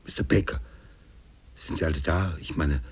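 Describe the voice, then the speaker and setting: Casting: older captain, low and raspy, weary, stubborn, commanding, radio play. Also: male voice, moderate pace, darkish tone, whispered, exaggerated voice. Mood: weary, concerned. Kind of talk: German radio drama acting with narrated passages.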